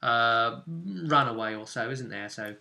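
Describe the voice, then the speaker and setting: A man's voice: medium pitch, a little slow, vocal fry, sharp tone, slight background noise. A man hosting as game master, casually explaining a tabletop role-play session in loosely phrased speech with asides.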